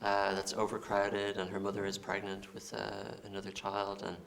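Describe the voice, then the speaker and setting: Irish man, low and gravelly, flat delivery, measured, halting interview speech. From Ireland. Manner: halting, repeats words while thinking. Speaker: film director. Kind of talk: interview answers.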